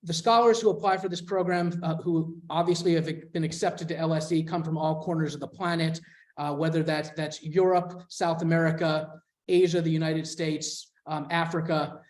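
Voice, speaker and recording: A man from a scholarship foundation presenting at an online info session in a measured way, with some fillers. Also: fairly high pitch, steady tone.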